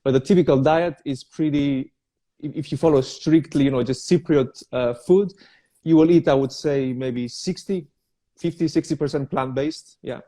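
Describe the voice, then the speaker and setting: A man's voice: on the high side, normal speed, mellow. A young man who grew up in Cyprus with Greek as his first language, a personal trainer speaking as an interview guest, hesitant with many fillers. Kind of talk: casual interview.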